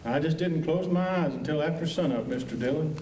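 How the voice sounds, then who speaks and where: A man's voice: on the high side, brisk, darkish tone, natural voice. Man playing a bashful frontier deputy, echoey and distant. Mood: dejected. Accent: American. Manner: folksy, hesitant, stammering and polite. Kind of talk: stage performance of old-time Western radio drama. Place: high school auditorium stage. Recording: echoey auditorium, distant mic, music behind.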